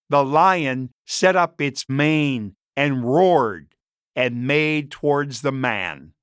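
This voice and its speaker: A man narrates a story at a slow, measured pace. His mid-pitched voice moves expressively up and down through the scripted lines, and the sound is dry, with very little room around it. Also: fairly bright tone, no echo.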